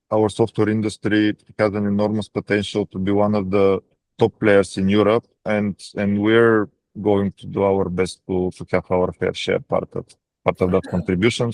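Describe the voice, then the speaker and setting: A man with a Bulgarian accent answering as a company executive on an earnings call, haltingly and often repeating words mid-sentence. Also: fairly low pitch, level tone, darkish tone, no echo. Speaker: defense practice lead at a technology company.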